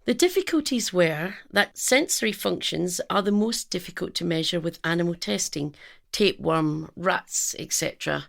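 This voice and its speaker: An actress playing an earnest research scientist in a radio drama, speaking in a measured, clinical manner. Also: female voice, fairly low pitch, animated, creaky. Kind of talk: audio drama acting.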